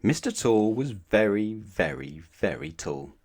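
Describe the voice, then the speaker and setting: A male storyteller reads a picture book aloud for children. His voice sits fairly low and moves through a wide, animated range as he narrates expressively. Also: measured pace, gravelly, husky.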